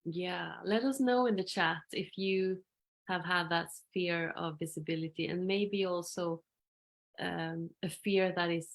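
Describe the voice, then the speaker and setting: A woman hosting a live spiritual interview, thoughtful and slow-spoken, with soft affirming murmurs. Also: fairly low pitch. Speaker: interview host.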